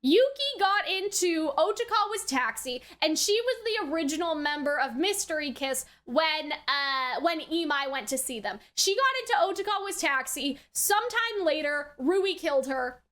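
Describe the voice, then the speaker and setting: A woman's voice: high voice, very animated, moderate pace, hardly any pauses, fairly bright tone. A woman hosting a reaction video, thinking through her theories aloud in a casual, rambling way and often checking that the listener follows. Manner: rambling, theorising aloud, frequently checks with "right?" and "you know". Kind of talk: casual unscripted anime review commentary.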